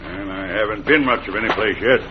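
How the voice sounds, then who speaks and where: An actor playing an easygoing, plain-talking cowboy in an old western radio drama, with a husky voice and a folksy drawl. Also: male voice, lowish voice, fairly quick, a little hiss or hum, lightly acted. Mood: unruffled, protesting. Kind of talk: 1940s-50s western radio acting. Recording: dull old radio recording.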